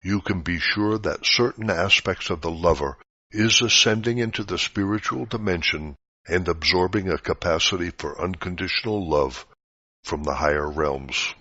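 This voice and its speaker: A man reading a scripted spiritual teaching aloud in a measured, didactic way. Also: sits low, sharp tone. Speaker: reader of spiritual articles. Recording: dull, roomy lo-fi recording.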